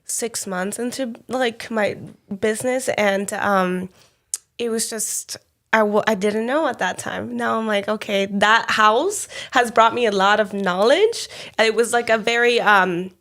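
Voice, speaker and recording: A woman whose first language is Spanish hosts a casual interview chat. Her voice sits a bit high, and she talks freely, dropping in plenty of "like"s and laughing often. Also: unhurried, a bit bright. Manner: chatty, laughs often, many "like"s. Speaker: podcast host.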